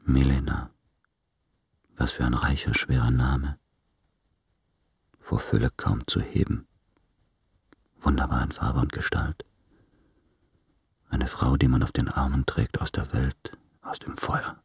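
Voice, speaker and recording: An actor playing an anguished, self-doubting man in his late thirties, speaking in measured, introspective literary monologue in a radio drama. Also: extremely low pitch, flat delivery, vocal fry, breathy edge, exaggerated voice. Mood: hushed, tender.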